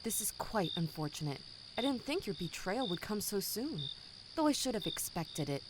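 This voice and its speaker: A woman's voice: medium pitch, sing-song, normal speed, very noisy, close to an everyday voice. A woman playing a cold, condescending company boss in an audio drama, formal, smug and menacing. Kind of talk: scripted audio drama acting.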